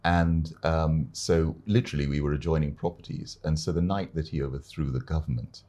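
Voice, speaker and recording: An older man from Uganda, an experienced arbitrator, telling his life story as an interview guest in a measured, unhurried way. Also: a bass voice, steady tone, mellow.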